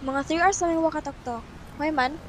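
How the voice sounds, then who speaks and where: A woman's voice: sits high, moderate pace, background noise, close to an everyday voice. A young woman with a Filipino accent playing a trusting girlfriend who is anxious about her boyfriend, in a radio drama. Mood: casual, dismissive.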